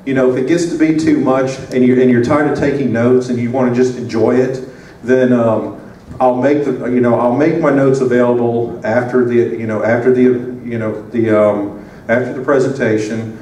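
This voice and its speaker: A man presenting at a conference, his talk loose and full of fillers and restarts. He sits a little away from the microphone, so the sound is roomy with a faint hiss behind it, and his pitch stays ordinary. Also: steady tone, moderate pace, dark tone, far from the mic. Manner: rambling, many fillers and restarts. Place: conference room. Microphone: handheld microphone.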